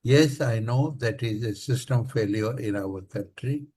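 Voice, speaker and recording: A man's voice: medium pitch, a little slow, a little mellow, breathy edge. A man with an Indian accent moderating a panel discussion, responding to speakers and summing up. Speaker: session moderator.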